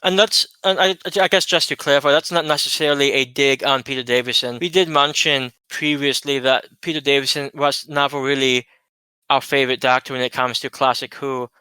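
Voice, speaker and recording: A man co-hosting a casual chat, giving his opinions in a steady, easygoing way. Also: mid-range voice, brisk, smooth, dry recording, rough recording. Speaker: podcast co-host.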